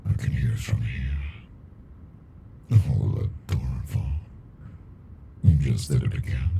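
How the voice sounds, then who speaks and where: A man voicing an ancient captive alien monster in an ASMR roleplay, deep and gravelly, brooding, intimate and possessively smitten with a human. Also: warm tone, a little hiss or hum, heavily acted. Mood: teasing. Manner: slow, brooding, deliberate, intimate.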